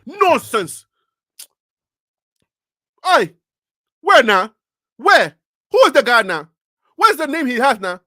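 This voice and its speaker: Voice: high voice, very animated, slow pace, long pauses, sharp tone, no echo, studio quality, full character voice. A sports talker putting on a Nigerian accent to play an exasperated, scolding pundit who fires off short indignant questions. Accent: put-on Nigerian.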